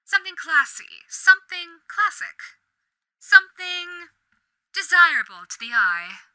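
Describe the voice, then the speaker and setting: A woman's voice: very high voice, big pitch swings, measured pace, pushed and bright, dry recording, exaggerated voice, low-quality recording. A woman voicing a charming, manipulative female overlord demon in an audio roleplay, speaking in a theatrical, coaxing way with whispered asides. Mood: sweetly menacing. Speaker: voice actor.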